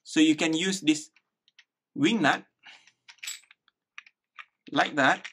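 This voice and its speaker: A man's voice: fairly high pitch, drawn-out words, a bit bright, slightly rough. A man in his thirties explaining a hands-on build casually, thinking aloud and repeating words as he goes. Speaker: DIY tutorial host. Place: small living room indoors.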